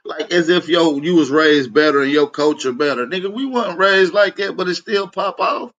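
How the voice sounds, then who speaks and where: Higher-voiced, blunt American man reacting. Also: moderate pace, fairly bright tone, dull audio. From United States. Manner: slangy, blunt, confrontational, swears often. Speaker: reaction commentator. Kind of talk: casual opinionated reaction commentary.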